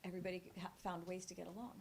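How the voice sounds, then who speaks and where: A woman moderating a book launch, asking probing questions in a soft-spoken, conversational way. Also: fairly low pitch, flat delivery, rapid-fire, vocal fry, slightly rough. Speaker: interviewer moderator. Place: press club event room.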